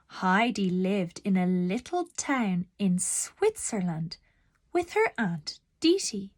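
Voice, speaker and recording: A woman narrating a children's storybook aloud in a deliberate, expressive storytelling manner. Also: mid-range voice, no echo, clean studio sound.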